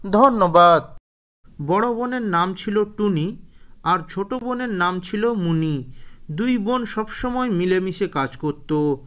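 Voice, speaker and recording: High-pitched male storyteller. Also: lively intonation, slow, fairly bright tone. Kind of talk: scripted fairy-tale narration for cartoons.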